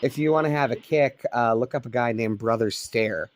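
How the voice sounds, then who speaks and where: A man talks in a relaxed, musing way as he scans the radio dial at night. His voice sits at an ordinary middle pitch. Light hiss runs under the talk, and the sound has the plain quality of a cell phone recording in a room. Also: normal speed. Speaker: shortwave radio hobbyist host. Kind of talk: casual chat. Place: indoors at night by a radio's glow.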